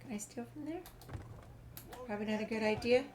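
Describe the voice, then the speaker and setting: A woman's voice: fairly high pitch, brisk, darkish tone, slight background noise. A woman giving casual video game commentary, chatty and musing to herself as she plays. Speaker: gamer, channel host. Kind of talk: casual let's play commentary.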